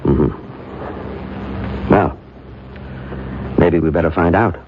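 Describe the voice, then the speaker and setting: An actor playing a calm, skeptical American doctor in an old-time radio drama, questioning with measured authority. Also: male voice, low-pitched. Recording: muffled, faintly noisy radio broadcast recording.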